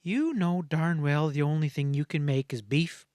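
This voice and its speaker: A man's voice: on the high side, normal speed, darkish tone, dry recording, clean studio sound, close to an everyday voice. A man voicing a plucky, teasing young frontier woman, plain-spoken, in a Western audiobook.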